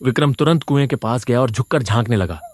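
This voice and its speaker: A man's voice: mid-range voice, lively intonation, fast, background noise. A man reading a scripted horror story as a dramatic narrator.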